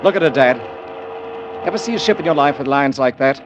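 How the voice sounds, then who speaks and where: An actor playing an eager, ambitious young merchant navy officer in a classic radio drama. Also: male voice, mid-range voice, animated, fairly quick, lightly acted. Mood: eager, admiring. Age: young adult. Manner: eager, earnest. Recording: muffled, noisy old radio recording.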